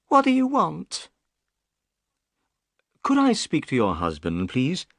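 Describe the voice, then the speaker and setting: A man voicing an upset, tearful working woman in high falsetto for a storybook reading. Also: huge pitch swings, takes it slowly, gravelly, fairly bright tone, no room sound, studio quality, heavily acted. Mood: worried, curt. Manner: high, strained falsetto, sobbing at times.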